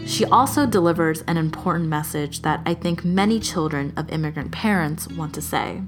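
A woman reading a scripted, earnest narration in a measured way. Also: low voice, faint music behind. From Chinese heritage.

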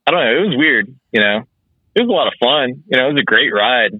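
A man in his mid thirties, a guest in a casual chat, easygoing and halting, full of fillers. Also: medium pitch, lively intonation, slightly rough, no echo. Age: 30s. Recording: tinny phone-like call line.

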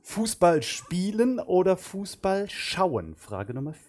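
A man hosting a podcast, putting quick quiz-style questions to his guest in a casual interview. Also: high-pitched, very animated, a little mellow. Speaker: podcast host.

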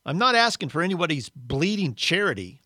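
Man putting on a storybook ghost, male, working-class, indignant, argumentative, rough grammar, raised pitch, loud. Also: sing-song, fairly quick, dry recording, clean studio sound. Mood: aggrieved, argumentative.

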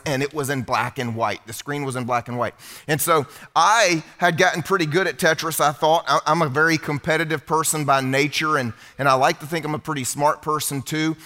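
Man, middle-aged, pastor, bright voice, slightly high, humorous conversational sermon. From United States. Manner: conversational, humorous preaching to a congregation. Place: church hall before a congregation.

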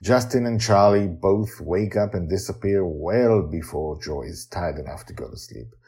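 A man's voice: sits low, moderate pace, dark tone. A man hosting a podcast and narrating stories in a dramatic, emphatic way. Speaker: podcast host and storyteller. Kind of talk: expressive storytelling and podcast narration.

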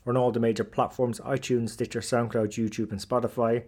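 A man's voice: mid-range voice, flat delivery, brisk, darkish tone. A man hosting a podcast, introducing the episode and interviewing his guest in a friendly way with some fillers. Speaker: podcast host.

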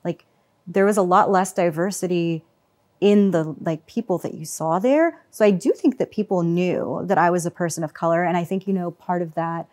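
A woman's voice: fairly low pitch, normal speed, darkish tone. Reflective woman, fillers throughout. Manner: reflective, many fillers like "you know" and "like". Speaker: panel guest. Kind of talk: casual panel discussion.

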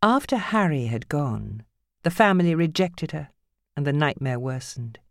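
A woman narrating fiction from a script as an audiobook reader, with a measured, grave and dramatic storytelling manner. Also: sits low, lively intonation, dry recording, clean studio sound.

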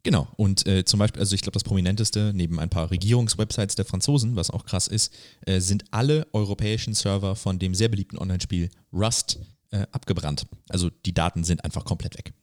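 A man's voice: sits low, a little fast, no echo, studio quality. A man co-hosting a podcast in casual, informal chat, dropping in English slang. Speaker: podcast co-host.

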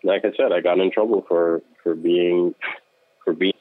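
A deep-voiced man talks in an earnest, self-assured way, with lots of fillers. He comes through a tinny, phone-like line. The sound is dry, with no room echo. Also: steady tone, normal speed. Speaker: guest, social worker. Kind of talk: casual podcast chat.

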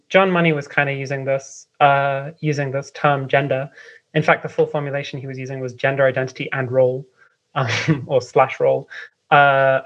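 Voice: mid-range voice, a bit bright, muffled recording. A guest from London, a writer and editor, explaining ideas in a loose, meandering way full of "um" and "like" and light laughs. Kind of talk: casual remote interview explanation.

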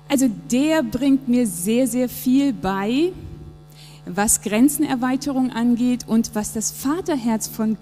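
A woman's voice: on the high side, warm tone. A woman in her thirties, from Japan, speaking reflectively and earnestly in a church service interview, with some fillers. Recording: long reverb with faint music behind.

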